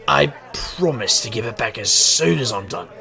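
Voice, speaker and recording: An actor playing a wry, hard-drinking private eye and street magician in a noir audio drama, gravelly and wisecracking. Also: male voice, mid-range voice, expressive, normal speed, bright, forceful tone. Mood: casual, teasing. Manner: wisecracking, sardonic. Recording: crowd murmur behind.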